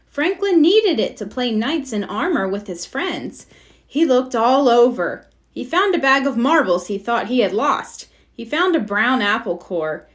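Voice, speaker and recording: Slow-paced woman reading a children's story. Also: fairly high pitch, expressive. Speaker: storybook reader. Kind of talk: expressive children's read-aloud narration.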